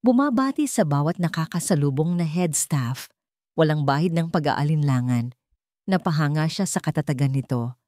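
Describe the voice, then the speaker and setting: A Filipino woman narrates a scripted romantic drama in a low-pitched voice. The recording is close and dry, with no room around her words. She tells it as a story narrator, voicing the dialogue herself. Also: animated, normal speed, warm tone, no echo.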